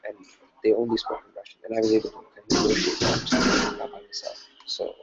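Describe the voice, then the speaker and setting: A man's voice: fairly low pitch, level tone, a little fast. A young man answering a question on a video call, speaking earnestly with frequent "uh"s. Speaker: program alumnus. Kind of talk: casual Q&A answer. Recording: noisy dull video call audio.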